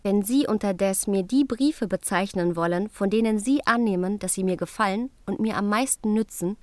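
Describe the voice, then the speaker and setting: An actress playing an earnest young queen, reading personal letters in a polite, measured way for a radio drama. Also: mid-range voice, darkish tone, no room sound, close to an everyday voice. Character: Queen Luise, earnest young royal writing intimate letters.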